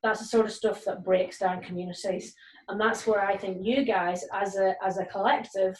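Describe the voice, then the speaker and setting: A woman's voice: mid-range voice, steady tone, moderate pace, a little hiss or hum. Earnest woman police sergeant on a video call. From Nigeria and Northern Ireland. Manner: earnest, many ums and restarts. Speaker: police community cohesion sergeant. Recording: roomy, distant video call audio.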